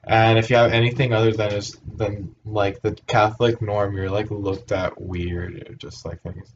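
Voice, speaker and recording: A young man from Puerto Rico with a low voice hosts a casual chat, hesitant and full of fillers. The sound is dull and lo-fi, with a faint hiss underneath. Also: level tone, moderate pace. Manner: hesitant, many fillers and restarts. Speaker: host.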